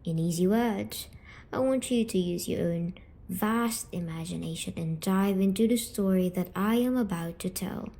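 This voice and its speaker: A woman performing scripted ASMR roleplay as a gentle, patient, eerie demon visitor, speaking softly and coaxingly and slipping into whispers. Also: mid-range voice, creaky. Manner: soft, slow, coaxing delivery slipping into whispers. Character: gentle, patient, eerie female sleep paralysis demon comforting a dreamer. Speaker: ASMR audio storyteller.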